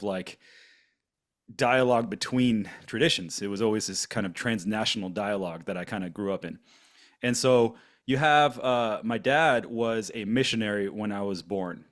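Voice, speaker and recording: Podcast co-host, man in his 40s, German-born, German native speaker; low voice, loose chatty storytelling full of ums. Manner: rambling storytelling with many fillers and restarts. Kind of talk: casual podcast conversation.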